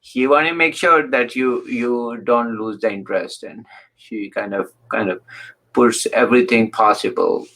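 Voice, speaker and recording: A male talk show host with a mid-range voice. He asks his questions in a halting way, padding them with "uh" and "you know". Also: mid-range voice, fairly even intonation, a bit bright, low-quality recording. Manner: halting, heavy fillers, repeated "you know". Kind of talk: hosting an interview.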